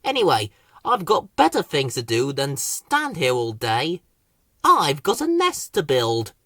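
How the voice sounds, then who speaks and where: A busy female bird from a children's storybook, voiced by a woman, brisk and a little exasperated. Her pitch rises and falls widely. The sound is dry. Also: huge pitch swings, fairly bright tone, no room sound, exaggerated voice. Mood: huffy.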